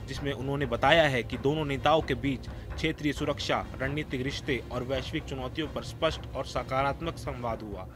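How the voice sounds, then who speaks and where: Man reading news in a formal manner. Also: mid-range voice. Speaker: news presenter. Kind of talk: scripted news narration.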